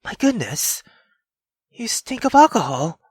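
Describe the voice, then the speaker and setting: A woman's voice: medium pitch, sing-song, measured pace, a little mellow, breathy edge, dry recording, exaggerated voice. A woman voicing a sensible, caring young woman in a visual novel, hesitant and mildly reproving. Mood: concerned, reproving.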